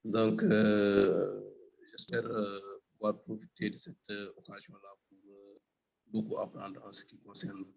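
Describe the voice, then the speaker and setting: Hoarse male farmer, gravelly, lowish, muffled call line. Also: little pitch movement. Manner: halting, many fillers. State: hoarse from a cold. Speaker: farmer entrepreneur. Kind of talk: self-introduction in online meeting. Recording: muffled video-call audio.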